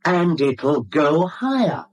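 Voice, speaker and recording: Smug British male blackmailer, 1970s radio drama: mock-genteel, theatrical, animated pitch, slightly rough voice. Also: slow pace, full character voice. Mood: jovial, gloating. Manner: glib, theatrical, mock-polite.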